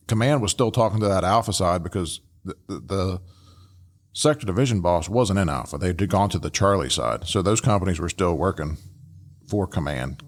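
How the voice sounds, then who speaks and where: A man co-hosting a podcast, talking through an analysis casually and emphatically, repeating phrases for stress. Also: low voice. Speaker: podcast co-host and fire service trainer.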